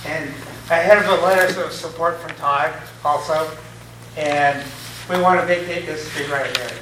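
A man's voice: a little high, noisy background. Hesitant man speaking at a hearing. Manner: hesitant, unsure of procedure, informal. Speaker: applicant at public hearing. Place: town meeting room. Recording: echoey room from far off.

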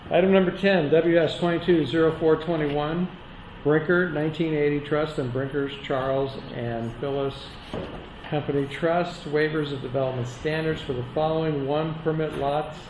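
A man chairing a public board hearing, reading agenda items in a formal, steady cadence and calling on speakers. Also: fairly high pitch, background noise. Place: public meeting chamber. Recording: echoey meeting room with dull sound.

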